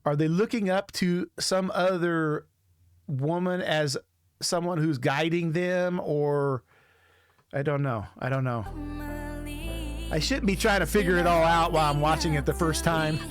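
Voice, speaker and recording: A man's voice: fairly high pitch, a little slow, faint music behind. A man giving casual reaction commentary, hesitant and rambling as he thinks aloud. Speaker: music video reaction host.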